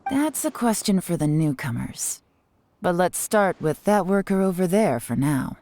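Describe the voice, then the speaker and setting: Low-voiced, shrewd intelligence operative. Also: female voice, big pitch swings, measured pace, warm tone, dry recording. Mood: probing. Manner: composed, confident, knowing. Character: cool, shrewd adult female intelligence operative. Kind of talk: video game character acting.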